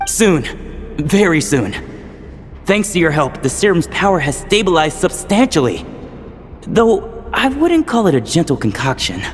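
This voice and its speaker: An actor playing a desperate, obsessive researcher in a video game, with an intense, volatile delivery and long reverb on the voice. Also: male voice, a little high, very animated, measured pace. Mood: insistent, reassuring. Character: desperate, obsessive male researcher hiding secret experiments. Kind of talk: dramatic video game voice acting. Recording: long hall reverb over music.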